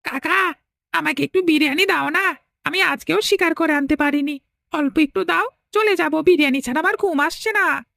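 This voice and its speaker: A woman's voice: high voice, normal speed, vocal fry, fairly bright tone, no room sound, studio quality. A woman voicing a pleading, anxious young village son in a horror cartoon, with a childlike way of talking.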